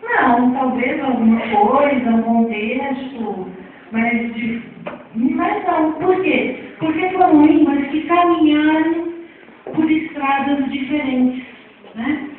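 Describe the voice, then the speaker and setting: A woman with a São Paulo accent lectures in a fairly high voice, checking in with her listeners every few phrases. She is heard from across a very echoey hall. The sound is thin and phone-like, with a faint hiss underneath. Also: takes it slowly. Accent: São Paulo Portuguese. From Brazil. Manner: chatty, checks in often with tags. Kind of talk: classroom lecture. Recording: tinny distant echoey hall recording.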